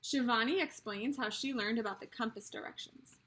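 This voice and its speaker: A woman reads a picture book aloud as an early childhood teacher. Her voice is high and bright, with a husky, breathy edge. She addresses young listeners directly and explains each idea in simple terms. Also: normal speed, rough recording.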